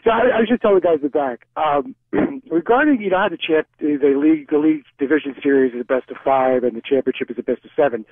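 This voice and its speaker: Male call-in listener: rapid, rambling, effusive casual talk, thin telephone audio. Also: fairly high pitch, animated, dry recording. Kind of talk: casual chat. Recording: tinny phone line on radio.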